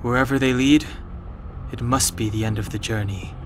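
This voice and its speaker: A man's voice: medium pitch, fairly even intonation, moderate pace, background noise, close to an everyday voice. A man voicing an earnest, resolute young warrior in a video game. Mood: calm, grave. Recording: music behind the voice.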